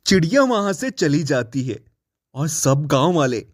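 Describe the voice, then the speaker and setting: A narrator telling a cartoon moral story in an even, storybook manner. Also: mid-range voice, unhurried, husky, no room sound, clean studio sound. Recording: faint music behind.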